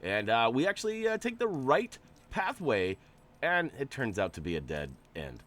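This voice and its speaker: A man's voice: mid-range voice, sing-song, moderate pace, fairly bright tone. A man with a nasal, stuffy voice from allergies, giving chatty, joking gameplay commentary as a channel host. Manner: chatty, joking, rambling asides.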